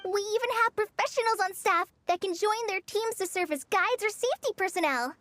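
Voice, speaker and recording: A woman's voice: extremely high pitch, brisk, a bit bright, dry recording. A woman voicing a polite, earnest teenage girl ranger trainee in a video game, sweet and modest. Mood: explaining. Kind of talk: scripted video game dialogue. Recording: music bed under the voice.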